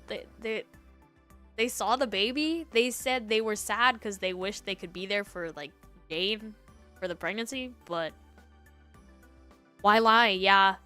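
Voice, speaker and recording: A woman hosting a livestream, chatting casually and reacting to online stories in a giggly, animated way. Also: fairly high pitch. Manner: giggly, animated, breaking into laughter. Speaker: livestream host.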